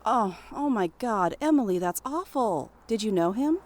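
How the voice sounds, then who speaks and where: A woman's voice: on the high side, expressive, moderate pace, a little mellow, lightly acted. A woman playing a blunt, skeptical but caring best friend in an audio drama, talking in a natural conversational way. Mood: shocked.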